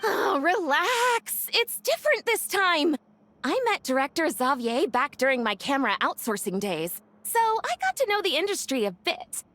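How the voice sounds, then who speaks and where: A woman's voice: sits high, very animated, moderate pace, fairly bright tone. A woman playing a spirited, self-assured young female film director in a video game, speaking with breezy theatrical confidence. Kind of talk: animated game voice acting.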